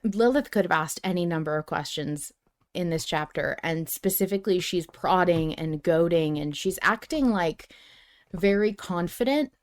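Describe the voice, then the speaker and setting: A woman co-hosting a podcast in casual fan discussion, speaking emphatically and quick to laugh. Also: fairly low pitch, lively intonation. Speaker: podcast co-host.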